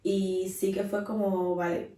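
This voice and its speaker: A young Colombian-accented woman co-hosts a casual podcast. Her tone is soft-edged and mellow, with little rise and fall in pitch. She sounds set back from the mic in an echoey space. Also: little pitch movement, measured pace. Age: 20s. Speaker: podcast co-host. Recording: roomy echo, distant from the mic.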